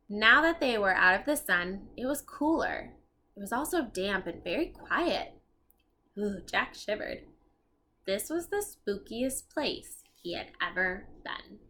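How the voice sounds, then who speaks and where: A woman reading a children's storybook aloud, expressive and explaining things to young listeners as she goes. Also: mid-range voice. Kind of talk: read-aloud narration with asides to young listeners.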